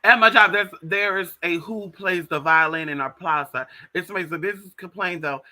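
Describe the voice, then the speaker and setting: An American man with a high, strikingly bright and forceful voice hosts a casual livestream. He talks straight to viewers in a sassy, slangy manner, leaning hard on words and calling listeners by pet names. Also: fairly quick. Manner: sassy, slangy, emphatic, addresses listeners as honey and girl. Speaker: livestream host.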